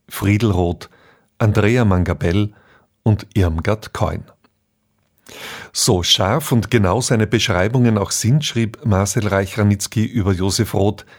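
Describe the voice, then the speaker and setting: Male radio drama narrator, lowish register, very unhurried, measured literary delivery. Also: darkish tone, husky.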